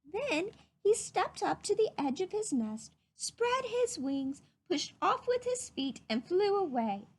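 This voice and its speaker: Woman, high voice, slow pace, expressive children's read-aloud narration. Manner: animated, simple explanatory asides to young listeners. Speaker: storybook reader for children.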